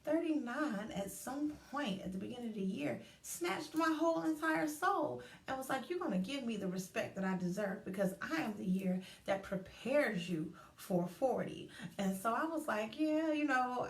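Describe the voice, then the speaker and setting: Thirty-something woman host, lively and talky, recorded at a distance in a reverberant room. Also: fairly high pitch, brisk, few pauses. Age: 30s. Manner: animated, chatty, acts out inner voices. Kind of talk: casual livestream talk. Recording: roomy echo, distant from mic.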